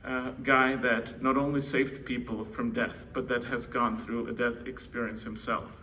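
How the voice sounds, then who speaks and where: Bright-voiced Czech man addressing a conference. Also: medium pitch, level tone, measured pace. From Czech Republic. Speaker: conference speaker introducing co-founder. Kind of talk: informal introductory speech. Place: indoor conference room. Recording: distant mic, faint noise, room ambience.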